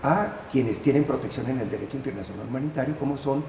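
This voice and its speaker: An older man, a professor and panel guest, giving formal political analysis in halting, deliberate phrases. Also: medium pitch, vocal fry, mellow. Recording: echoey, noisy room sound.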